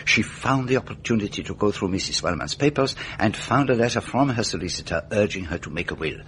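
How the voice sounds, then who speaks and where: Deep-voiced, animated, methodical detective. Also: male voice, slight background noise. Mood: grave, assured. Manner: deliberate, methodical, rhetorical questioning. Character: meticulous, self-assured male detective explaining a murder case. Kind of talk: radio mystery drama acting.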